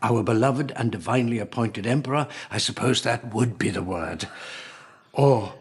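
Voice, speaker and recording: An actor playing an old, dying lord and conspirator in a radio drama, urbane and formal, courteous but menacing. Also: male voice, mid-range voice, breathy edge. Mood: smoothly menacing. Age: older. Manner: urbane, measured, formal, faintly mocking.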